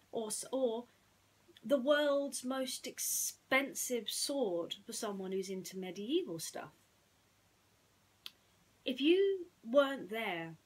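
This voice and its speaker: A woman's voice: medium pitch, husky, rough recording. A woman telling a bedtime story and its simple message to listeners as a storyteller. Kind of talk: bedtime story narration and gentle reflection.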